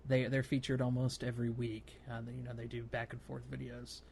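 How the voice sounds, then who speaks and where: A man co-hosting a casual gaming podcast, talking in a halting, rambling way with repeated words. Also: mid-range voice, little pitch movement, gravelly, darkish tone, slight background noise. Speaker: podcast co-host.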